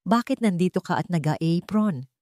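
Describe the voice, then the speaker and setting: A fond, playfully scolding cafe owner, played by a woman in an audio love story. She sounds mellow, with very mobile intonation, recorded dry. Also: on the low side, animated, moderate pace, dry recording, natural voice. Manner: fond, chiding. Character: warm-hearted, playfully scolding woman who runs a cafe.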